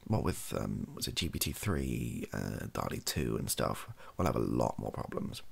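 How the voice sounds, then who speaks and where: A British man speaks softly in a very low, husky voice full of heavy vocal fry. His pitch moves around freely as he rambles and hesitates. The delivery is an improvised, self-deprecating ASMR chat. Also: sing-song, moderate pace. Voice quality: soft, gravelly, husky. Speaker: video host, ASMR creator. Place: small bedroom office, indoors.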